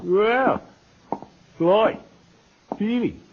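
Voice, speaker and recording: An actor playing a blustery, self-important middle-aged uncle who dithers and exclaims, in 1940s radio sitcom style. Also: male voice, high voice, very slow, heavily acted. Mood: musing. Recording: dull, noisy 1940s radio recording.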